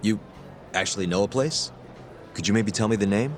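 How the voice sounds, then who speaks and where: A man as a low-voiced, wry tough investigator. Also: a little fast, a little hiss or hum, close to an everyday voice. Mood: reluctant, awkward. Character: wry, tough male investigator, cool under pressure. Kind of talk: video game cutscene acting.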